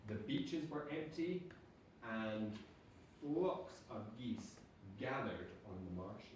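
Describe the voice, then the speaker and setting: A man, a teacher, reading a storybook aloud to children in a dramatic, drawn-out way. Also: medium pitch, sing-song, a little mellow. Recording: echoey room, distant mic, background noise.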